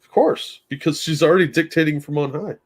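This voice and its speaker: A man's voice: on the high side, brisk. A man co-hosting a podcast in casual chat, making dry wisecracks. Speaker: podcast co-host.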